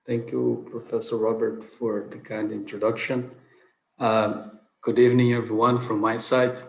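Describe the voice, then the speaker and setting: A man's voice: medium pitch, flat delivery, normal speed, gravelly, darkish tone. Man, thirties, from southern Brazil, Portuguese native, hesitant award lecturer, mid voice, roomy webcam sound. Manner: earnest, many hesitant uhs and restarts. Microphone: video call webcam. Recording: roomy video call audio.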